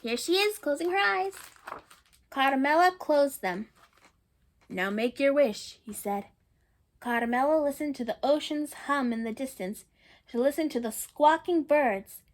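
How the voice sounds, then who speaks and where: A woman's voice: high-pitched, expressive, measured pace. A woman reading a picture book aloud to children, stopping to chat and ask listeners questions, and often repeating herself. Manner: chatty, warm asides to young listeners, often repeating herself.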